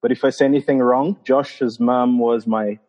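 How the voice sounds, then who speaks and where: A man from Brazil with a Brazilian Portuguese accent, a missionary guest telling his story in a casual podcast interview, halting and laughing often. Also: mid-range voice, steady tone, darkish tone, highs cut off.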